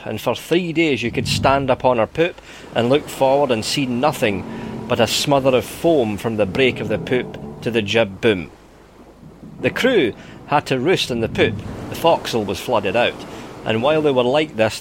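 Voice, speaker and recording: A man's voice: mid-range voice, normal speed, noisy background. A man narrating a scripted sea yarn dramatically, in a colloquial storyteller's manner.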